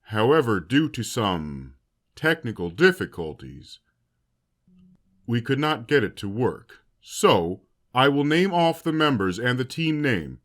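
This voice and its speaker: A man's voice: mid-range voice, sing-song, unhurried, fairly bright tone. A man playing a stern but caring academy headmaster giving a formal, solemn speech in an audio drama. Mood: formal, welcoming. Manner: solemn, oratorical.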